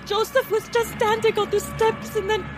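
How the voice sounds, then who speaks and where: Frantic, very high-pitched pleading mother. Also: little pitch movement, normal speed, over music. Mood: desperate, demanding. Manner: desperate, pleading, shrill. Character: frantic Israeli mother pleading for her injured son. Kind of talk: audiobook drama acting.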